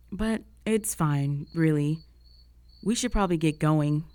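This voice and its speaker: Woman acting a low-pitched, flustered, lovesick young roommate. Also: slow pace, warm tone, no echo. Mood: sad, resigned. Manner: conversational, hesitant, sighing, emotionally exposed. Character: jealous female roommate with a secret crush, flustered and hurt. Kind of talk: romantic audio roleplay, intimate one-sided dialogue.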